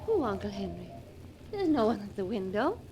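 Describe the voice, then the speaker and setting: An actress playing an earnest, anxious young heroine in a 1940s radio drama, with dramatic delivery. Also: female voice, mid-range voice, big pitch swings, brisk, darkish tone, slight background noise. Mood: alarmed, pleading.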